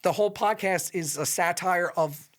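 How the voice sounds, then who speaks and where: A man's voice: high-pitched, moderate pace. A man with a nasal voice, a podcast guest talking loosely with lots of fillers. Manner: loose, rambling with many fillers. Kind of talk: casual interview chat.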